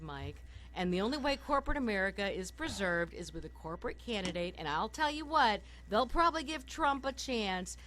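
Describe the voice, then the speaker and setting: Hoarse, cynical woman ranting on talk radio. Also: medium pitch. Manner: animated, cynical ranting, laughs often mid-sentence. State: hoarse from a summer cold. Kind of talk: political talk radio chat.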